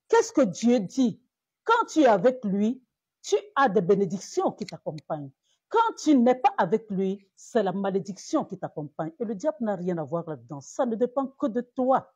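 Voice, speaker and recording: A woman's voice: medium pitch, darkish tone, breathy edge, dry recording. A woman pastor from Cameroon preaching in a live-streamed sermon, repeating words and rising to emphatic peaks.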